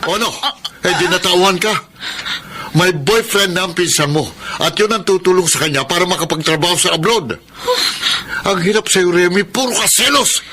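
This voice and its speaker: A high-pitched husband's voice with music behind it. He has a Filipino accent and sounds exasperated and indignant as he defends himself. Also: normal speed, bright, forceful tone, husky, heavily acted. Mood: scolding. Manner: indignant, scolding. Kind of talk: Filipino radio drama acting. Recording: radio drama with music behind.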